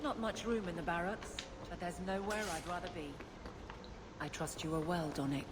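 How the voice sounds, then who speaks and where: Woman acting as a stern guard captain in a fantasy game, lowish firm voice, faint noise behind. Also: moderate pace, close to an everyday voice. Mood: firm, dry.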